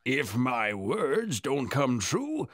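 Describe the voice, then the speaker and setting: A man playing a persuasive old trader in an animated children's story, coaxing like a salesman in a gravelly, creaky voice. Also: medium pitch, drawn-out words, no room sound, clean studio sound.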